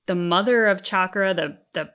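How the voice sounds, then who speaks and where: A woman's voice: medium pitch, moderate pace, a bit bright, clean tone. A woman co-hosting a reaction channel, talking casually and thoughtfully as she searches for words.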